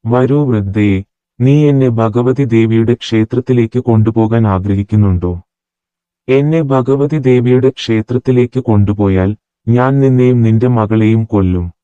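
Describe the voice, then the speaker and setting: A man's voice: mid-range voice, normal speed, warm tone, no room sound, close to an everyday voice. A man voicing an obsessive, menacing male ghost in a cartoon story, speaking in threatening, repetitive declarations. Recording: muffled, with clear background music.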